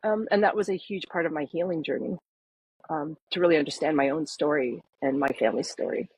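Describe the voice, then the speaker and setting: A woman in her fifties who grew up in Canada tells her story in an interview. Her voice is slightly low and breathy, soft and gentle. She talks earnestly and reflectively, often pausing on fillers. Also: poor audio. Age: 50s. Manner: earnest, reflective, many ums. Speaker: guest, parenting coach. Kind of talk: podcast interview, personal story.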